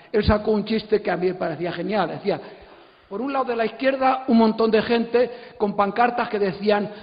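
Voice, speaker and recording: A man giving an impassioned opinion at a public panel, emphatic, indignant and given to repeating himself for force. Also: sits high. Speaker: congress speaker, graphic humour specialist. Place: large indoor congress hall. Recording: dull, echoey hall recording.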